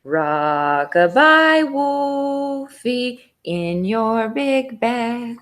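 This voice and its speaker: A woman's voice: fairly high pitch, expressive, drawn-out words, a bit bright, faint music behind, voice pushed up, heavily acted. A woman voicing a sly, hungry, sentimental big bad wolf in a children's storybook. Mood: tender crooning.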